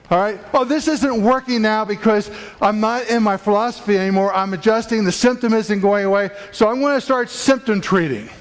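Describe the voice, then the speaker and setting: A man's voice: high voice, clear, resonant tone. A man giving an emphatic, preachy talk to fellow professionals in a conference room. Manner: preachy, emphatic, builds up intensity. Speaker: chiropractic speaker. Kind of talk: motivational talk to a live audience.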